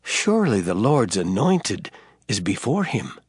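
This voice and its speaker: Stern prophet Samuel, dramatically swooping delivery. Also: male voice, lowish voice, very animated, unhurried, breathy edge, no room sound, studio quality, full character voice. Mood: grave. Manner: declamatory, solemn, emphatic. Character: Samuel, stern, grave old male prophet. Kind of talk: dramatized scripture reading. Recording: prominent music bed behind voice.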